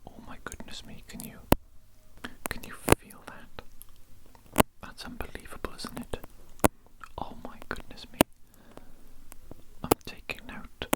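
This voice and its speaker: A woman's voice: lightly acted, low-quality recording. Woman doing an evil genius doctor for asmr, whispering the whole time, smug and scolding, says stuff twice. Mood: intrigued. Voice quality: breathy unvoiced whisper. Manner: slow, repetitive, condescending, theatrical.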